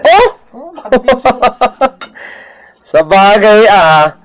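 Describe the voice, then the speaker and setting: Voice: mid-range voice, lively intonation, very slow. A performer with an Ilocano accent playing a jovial, teasing village busybody who chuckles while talking in a radio comedy drama. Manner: chuckles through the talk.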